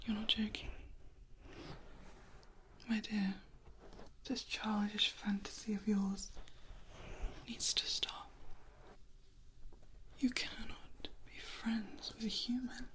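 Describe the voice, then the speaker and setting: A very slow, hushed whisper carries a woman's performance of an age-old female vampire in an ASMR roleplay. She is protective and softly disdainful, choosing formal, old-fashioned words and letting each one come out breathy and unhurried. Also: medium pitch, fairly even intonation, rough recording. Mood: reproving, disdainful. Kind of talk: soft-spoken ASMR roleplay.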